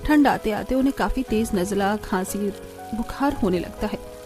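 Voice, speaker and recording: A woman voicing an apologetic, careworn middle-aged village mother-in-law in a cartoon, explaining things softly. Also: mid-range voice, normal speed, over music, pitched below the performer's own voice, lightly acted. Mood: troubled.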